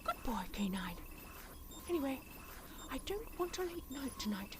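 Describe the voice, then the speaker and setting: A woman playing a motherly, brisk adventurer in an audio drama, with an earnest manner. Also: high-pitched, very animated. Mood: pensive. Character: motherly, brisk female alien-fighting adventurer. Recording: clearly noisy background.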